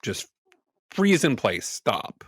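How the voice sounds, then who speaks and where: A man's voice: fairly low pitch, sing-song, takes it slowly, slightly rough, no echo, studio quality. A man chatting casually as a player in a tabletop role-playing game.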